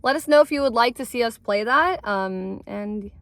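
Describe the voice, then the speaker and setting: A woman's voice: on the high side, animated, normal speed, fairly bright tone, no echo. A young woman co-hosting a casual movie review from a parked car, bubbly and slangy.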